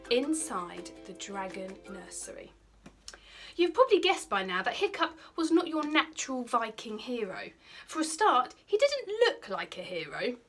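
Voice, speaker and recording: A British woman in her thirties, a primary teacher, reading a children's story aloud in an expressive, explanatory way. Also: mid-range voice. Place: small bright indoor room by a window.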